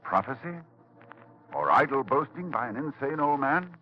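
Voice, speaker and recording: A man narrating a horror radio drama in a grave, measured storytelling manner. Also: mid-range voice, big pitch swings, loud music behind the voice. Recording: dull old radio broadcast.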